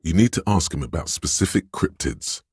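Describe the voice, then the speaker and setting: A man voicing an insinuating inner voice in a video game, narrating in an intimate, coaxing, knowing and measured way, with a very deep, husky tone. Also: lively intonation, darkish tone, no room sound, studio quality, heavily acted. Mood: musing. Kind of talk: scripted second-person game narration.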